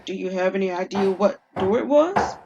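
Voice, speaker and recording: A woman's voice: medium pitch, expressive, normal speed, muffled recording, very noisy, natural voice. Woman as worried husband, protective, questioning, audio story character. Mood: concerned. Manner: concerned, questioning. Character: Oliver, concerned protective husband.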